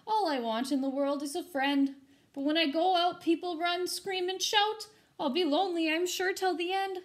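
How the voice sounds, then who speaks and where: A woman voicing a lonely, excitable female ghost in a children's picture book, with a gushing, dramatic manner. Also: very high voice, moderate pace, pitched above the performer's own voice. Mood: weepy, lonely.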